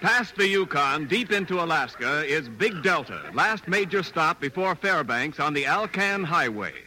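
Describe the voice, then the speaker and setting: A man's voice: a little high, expressive, moderate pace, a crowd in the background, background noise. A man announcing a 1940s radio commercial in an emphatic, polished manner.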